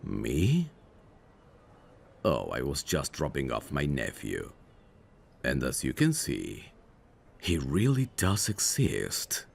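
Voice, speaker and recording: Very deep, gravelly, teasing mafia boss, acted by a man. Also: wildly swooping pitch, slight background noise, exaggerated voice. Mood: smooth, casual. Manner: teasing, unhurried, suave. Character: smooth, teasing mafia boss, flirtatious and faintly menacing. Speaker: voice actor in an audio roleplay. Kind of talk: ASMR roleplay drama.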